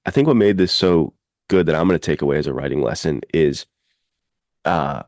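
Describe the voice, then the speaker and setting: A man's voice: extremely low pitch, fairly quick, a little mellow, no echo, clean studio sound. A man co-hosting a podcast in casual chat, with an informal, effusive, enthusiastic way of talking. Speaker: podcast co-host and fiction writer.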